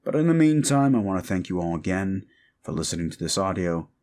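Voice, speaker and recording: A man's voice: low-pitched, very animated, a little fast, darkish tone. A man talking casually and reflectively to his listeners, earnest and thoughtful, rambling a little as he goes. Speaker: audio drama voice performer and writer. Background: music.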